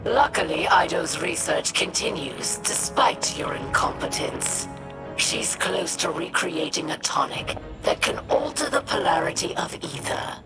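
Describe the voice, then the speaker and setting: A woman's voice: fairly low pitch, big pitch swings, unhurried. A woman playing an imperious female alien warlord in a video game, speaking in a haughty, biting, sarcastic manner. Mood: scornful. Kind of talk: video game cutscene acting. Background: cinematic music.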